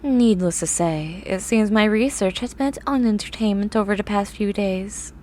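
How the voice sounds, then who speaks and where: A woman playing a grumpy, eccentric young horse-girl scientist in an ASMR roleplay, with formal, haughty, drawn-out wording. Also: medium pitch, warm tone, natural voice. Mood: dry, smug. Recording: clear background noise, ambient sound.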